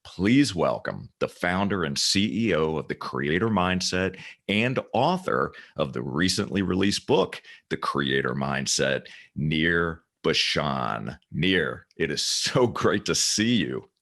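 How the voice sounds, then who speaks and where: A man's voice: a bass voice, sing-song, slow pace, vocal fry, few pauses, fairly bright tone. A man hosting a business podcast, reading a scripted introduction and then asking questions with an eager, stumbling manner. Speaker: podcast host.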